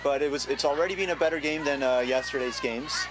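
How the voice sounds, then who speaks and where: A man doing relaxed, joking play-by-play commentary at an outdoor game, with plenty of fillers. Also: medium pitch, fast talker. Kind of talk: casual sports commentary. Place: outdoor sideline of a rainy field. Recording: noisy outdoor recording.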